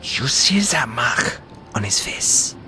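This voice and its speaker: A man's voice: medium pitch, big pitch swings, slow, sharp tone, slight background noise, heavily acted, low-quality recording. A man playing a sly, smug rogue with a teasing, theatrical delivery in a fantasy audio drama. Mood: gloating. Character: sly, taunting male rogue scheming a shakedown.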